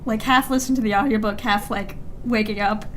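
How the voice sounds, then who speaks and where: A woman's voice: fairly high pitch, steady tone, brisk, noisy background. A young adult woman co-hosting a podcast in casual chat, giggly and dryly ironic. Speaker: podcast co-host.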